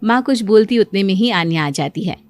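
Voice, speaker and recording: A woman's voice: medium pitch, expressive, a little fast, darkish tone, no echo. A woman giving scripted narration for a cartoon story. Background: music.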